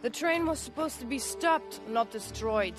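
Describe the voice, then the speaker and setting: A woman's voice: a little high, lively intonation, measured pace, music in the background. An actress playing a tough, resolute Frenchwoman of the Resistance, with a French accent, in a video game cutscene. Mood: curt, cool.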